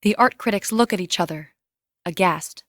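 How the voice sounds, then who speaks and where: A woman's voice: on the low side, normal speed, dry recording, studio quality. A woman reading scripted, screenplay-style narration and stage directions.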